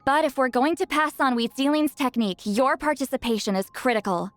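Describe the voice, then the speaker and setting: A woman's voice: sits high, brisk, lightly acted. A woman playing an aloof, self-assured, slightly prickly young shaman in a video game, with a dry, offhand delivery.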